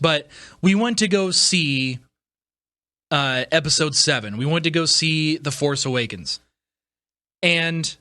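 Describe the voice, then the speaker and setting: A man's voice: on the high side, pushed and bright, no room sound, studio quality. A man, perhaps middle-aged, giving a casual, opinionated podcast monologue as host, stressing his points emphatically. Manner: emphatic, repeats phrases for stress.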